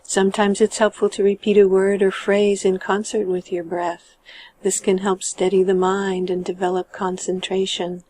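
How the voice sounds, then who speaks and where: Soft-toned, mellow woman guiding meditation. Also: medium pitch, slight background noise. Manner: calm, measured, gentle phrasing with trailing sentence ends. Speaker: meditation guide. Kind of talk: guided meditation narration.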